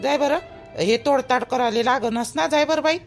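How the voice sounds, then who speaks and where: A woman's voice: sits high, moderate pace, faint music behind. A woman playing a practical, nagging village mother of a grown son in a family cartoon, with a Vidarbha Marathi accent. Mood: chivvying. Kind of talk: family drama cartoon dialogue.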